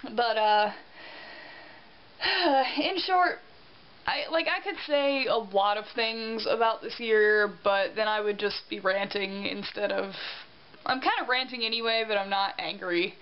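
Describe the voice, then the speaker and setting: A woman's voice: medium pitch, unhurried, clear, resonant tone. Tired, congested young woman vlogging. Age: young adult. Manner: rambling, chatty, self-deprecating. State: congested with a sore throat. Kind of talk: casual vlog chat. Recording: dull lo-fi sound with faint hiss.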